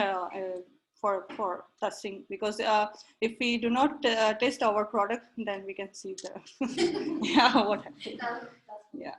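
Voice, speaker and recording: A woman presenting on software testing at a coding school graduation, going through her points one by one in order. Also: on the high side, low-quality recording.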